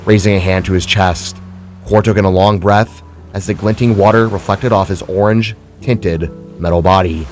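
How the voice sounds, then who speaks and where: A man's voice: sits low, fairly even intonation, normal speed, darkish tone, soft background music. A man reading scripted dramatic fiction as a narrator.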